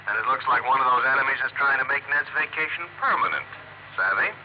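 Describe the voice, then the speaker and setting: An actor playing a harried, worried American insurance manager in a 1950s radio drama. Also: male voice, medium pitch, lively intonation, quick pace, close to an everyday voice. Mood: urgent, complaining. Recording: thin noisy phone-like vintage radio sound.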